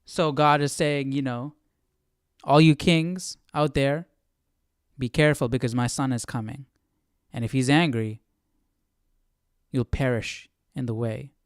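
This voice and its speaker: A man hosting a casual live Bible study, thinking aloud in a halting way and asking rhetorical questions as he goes. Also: a little high, no room sound, studio quality.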